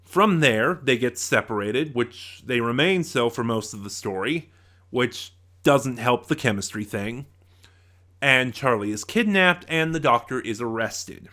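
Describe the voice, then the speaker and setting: Bright-voiced man giving a casual review. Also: medium pitch, expressive, measured pace. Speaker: reviewer, channel host. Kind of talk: semi-scripted audio drama review commentary.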